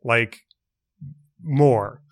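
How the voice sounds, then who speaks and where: A young man from New York City co-hosting a podcast, choosing his words carefully, with many fillers and restarts. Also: medium pitch. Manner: measured, precise wording with many fillers and restarts. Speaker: podcast co-host. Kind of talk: casual chat.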